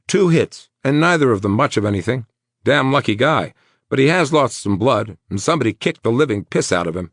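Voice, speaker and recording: A man voicing a brisk, nonchalant paramedic in an audiobook, loud, quick and matter-of-fact. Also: mid-range voice, lively intonation, dry recording, clean studio sound, pitched above the performer's own voice. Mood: breezy, blunt.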